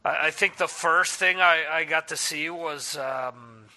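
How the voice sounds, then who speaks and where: Bright-voiced man in his forties, laid-back. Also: on the high side, measured pace. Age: 40s. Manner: loose, swearing, laughs often. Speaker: podcast host. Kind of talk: casual chat. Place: lives in Spain.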